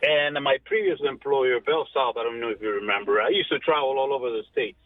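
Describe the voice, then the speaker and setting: A man's voice: medium pitch, a little fast, no room sound. A man in his fifties, born in Cuba, calling into a radio talk show, friendly and rambling with fillers. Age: 50s. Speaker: caller. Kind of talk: phone-in call to a radio show. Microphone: telephone. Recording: tinny phone line.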